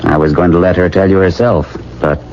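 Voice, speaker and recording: An actor playing a calm, frank middle-aged family doctor in a 1970s radio drama, measured and matter-of-fact. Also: male voice, sits low. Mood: firm, frank. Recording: muffled, faintly noisy vintage radio broadcast.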